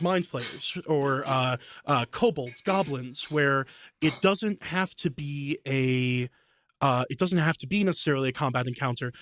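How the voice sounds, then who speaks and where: A man's voice: on the high side, no echo, clean studio sound. A man talking casually as a podcast guest, explaining things in an enthusiastic, talkative way. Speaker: podcast guest, veteran game master.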